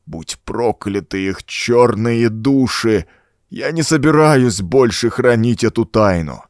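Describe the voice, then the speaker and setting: A man's voice: medium pitch, expressive, slow pace, no echo, clean studio sound. A man voicing a dying old former cultist, groaning out a desperate, laboured confession for a horror audiobook. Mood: bitter, desperate. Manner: groaning, laboured, dramatic delivery. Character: dying old male renegade cultist confessing in dread and agony. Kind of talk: acted audiobook dialogue.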